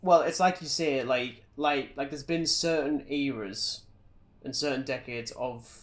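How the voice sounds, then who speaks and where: A man's voice: mid-range voice, measured pace, over a noisy crowd. Wry, informal male podcast co-host. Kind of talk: casual chat about films and games.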